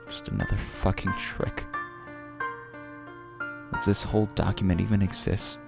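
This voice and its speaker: A man's voice: low voice, flat delivery, a little slow, vocal fry. A man playing a paranoid, frightened young man in a creepypasta dramatic reading, telling his diary with anguished drama. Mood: spiralling paranoia. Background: music.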